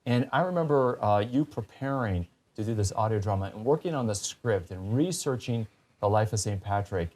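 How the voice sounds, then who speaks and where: Low-pitched man hosting a talk show. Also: animated, moderate pace, a little mellow.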